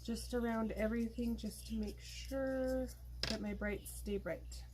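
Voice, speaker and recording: A woman hosting an art tutorial, explaining her steps in a relaxed, chatty way while she works. She is losing her voice. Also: on the high side, unhurried, noisy background. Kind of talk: casual step-by-step craft demonstration.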